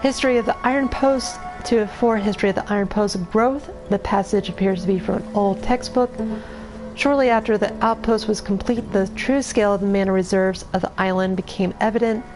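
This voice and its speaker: A woman streaming a video game, reading in-game lore aloud in a halting way with many restarts, her voice going hoarse. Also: medium pitch, steady tone, music in the background. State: losing her voice. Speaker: gameplay streamer.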